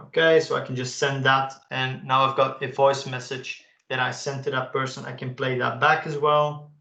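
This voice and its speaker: Man, gravelly voice, casual software walkthrough, roomy echo. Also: medium pitch, flat delivery, moderate pace. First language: Dutch. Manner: casual, enthusiastic, frequent "uh" fillers. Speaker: webinar presenter. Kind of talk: live software demo walkthrough.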